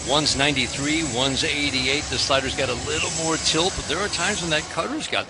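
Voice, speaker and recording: A man's voice: on the high side, fairly quick. An American man calling a baseball game live on the radio as the play-by-play announcer. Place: outdoor ballpark broadcast booth. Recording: outdoor stadium ambience, clear background noise. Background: stadium ambience noise.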